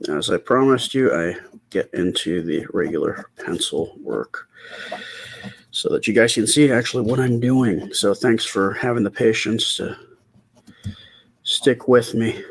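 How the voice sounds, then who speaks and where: A middle-aged man talking softly and casually in ASMR style as he draws, with a quiet, hesitant, rambling delivery. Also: fairly low pitch, creaky. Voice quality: quiet, soft-spoken voice. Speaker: artist and channel host. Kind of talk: casual ASMR storytime while drawing.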